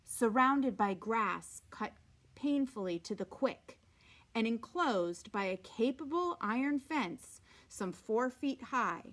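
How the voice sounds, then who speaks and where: A woman with a high, very animated voice reads a story aloud. She takes her time, shaping phrases deliberately and dramatically, as in an expressive read-aloud for listeners following along. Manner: deliberate, dramatic phrasing, sometimes dropping to a hush.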